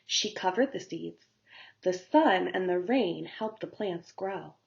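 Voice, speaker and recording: A woman narrating a story for young children as a teacher would, in simple, deliberate phrasing. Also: on the low side, animated, vocal fry, highs cut off.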